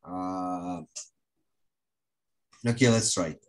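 Very low-pitched, slow-talking woman teaching. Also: noisy background. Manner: short prompts, calls on pupils. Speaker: online English teacher. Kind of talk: casual interactive lesson with word games. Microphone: video call.

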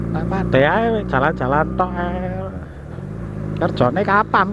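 A man with an East Javanese accent hosting a casual riding vlog, chatty and joking at his own expense as he rides through town to a cafe. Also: high-pitched. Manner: chatty, joking, laughs at himself. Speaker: motovlogger host. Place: riding a motorbike on city streets, then a cafe. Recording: dull sound with loud traffic noise.

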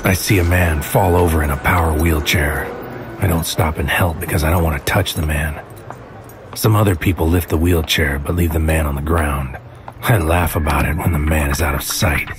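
A man playing a bleak, alienated psychic warrior, speaking a deliberate, poetic inner monologue in an audio drama. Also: a bass voice, steady tone. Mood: bleak, grieving. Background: music.

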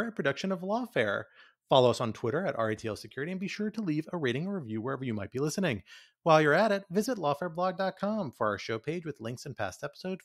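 A man's voice: fairly high pitch, fairly bright tone. A man co-hosting a podcast in a casual, conversational style. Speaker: podcast co-host.

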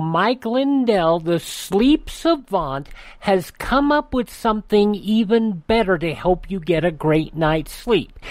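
A woman hosting a radio program in a scripted announcing style. Her voice sits at a medium pitch, recorded very dry in a studio. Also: darkish tone, dry recording. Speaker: radio host.

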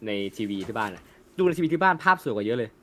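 A young man in a casual chat, blunt and animated, swearing freely as he rants. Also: medium pitch, very fast, a little hiss or hum. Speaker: co-host. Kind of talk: casual chat about a film.

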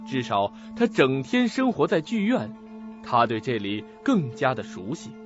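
A man's voice: mid-range voice, muffled recording. A man narrating a suspense mystery radio drama in a dramatic, scripted style.

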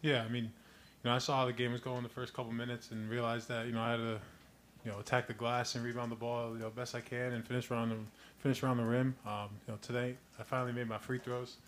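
A young man from Connecticut, a college basketball player, answering press questions in a quick run of "you know" phrases into a table mic. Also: mid-range voice, a little hiss or hum. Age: young adult. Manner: quick, flat delivery full of "you know". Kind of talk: postgame press conference answers. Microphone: table mic on a stand.

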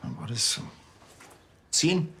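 An actor playing a blunt, awkward middle-aged village policeman in a TV crime comedy, with a Bavarian accent and a halting, deadpan delivery. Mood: matter-of-fact.

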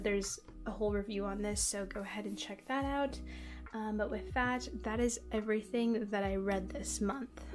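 A young woman casually chatting through her monthly reads at home, with lots of ums and likes. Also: mid-range voice. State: voice going hoarse. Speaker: book and manga vlogger. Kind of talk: casual monthly reading wrap-up. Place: home, by a bookshelf.

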